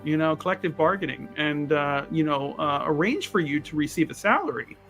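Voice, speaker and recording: A man playing a pompous, condescending adventurer mage in a tabletop game, wordy and often halting mid-sentence. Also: fairly high pitch, lively intonation, fairly bright tone, soft background music.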